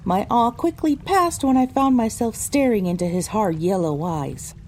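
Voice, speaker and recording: A woman playing a pony who narrates her own adventure in a measured, descriptive storytelling style. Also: mid-range voice, darkish tone, slight background noise, close to an everyday voice. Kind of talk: scripted first-person story narration.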